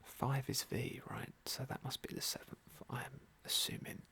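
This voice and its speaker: Whispering man, soft ASMR game commentary. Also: mid-range voice, little pitch movement, normal speed, creaky, husky. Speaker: game playthrough host.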